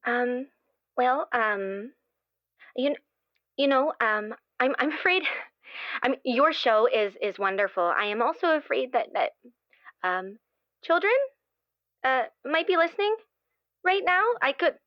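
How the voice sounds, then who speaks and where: High-voiced, earnest woman playwright played by an actress. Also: expressive, no room sound, highs cut off. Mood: nervous, hesitant. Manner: effusive, halting, earnest. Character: earnest devout woman playwright, gushing, anxious to please. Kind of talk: improvised actual-play interview acting.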